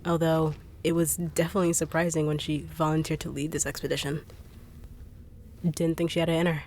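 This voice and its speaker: A woman voicing a fast-talking, no-nonsense, teasing bodyguard in an audio roleplay. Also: low voice, little pitch movement, a little mellow, slight background noise, lightly acted. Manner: dry, teasing, blunt. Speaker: voice actor.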